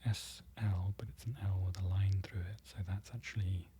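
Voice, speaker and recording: A presenter whispering an ASMR-style lesson, slowly repeating foreign words and correcting themselves. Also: deep voice, steady tone, a little hiss or hum. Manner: whispering throughout, soft and close. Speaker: presenter learning Polish from a book.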